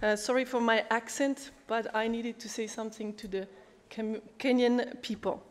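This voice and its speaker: A woman's voice: on the high side, steady tone. Woman moderating in an echoey hall. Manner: halting, with many fillers and restarts. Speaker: panel moderator. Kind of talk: conference talk, prepared remarks. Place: large conference hall. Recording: echoey hall with long reverb.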